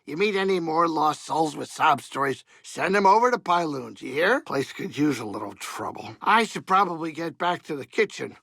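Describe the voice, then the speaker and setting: A creaky, high-voiced gruff alien pilot. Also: male voice, lively intonation, normal speed, hardly any pauses, a bit bright, no room sound, clean studio sound, heavily acted. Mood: wry, easygoing. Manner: wisecracking, folksy, old-time wise-guy slang. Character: gruff, excitable, soft-hearted older alien pilot turned cantina cook. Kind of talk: video game character dialogue.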